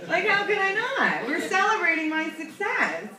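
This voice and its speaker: A woman's voice: very high voice, wildly swooping pitch, moderate pace, reverberant, a crowd in the background. A young woman comic from New York tells a rambling, self-mocking story from a club stage. She chats along and laughs at her own punchlines. The mic sits some distance away, and the room rings around her. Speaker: stand-up comedian. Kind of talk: stand-up comedy storytelling.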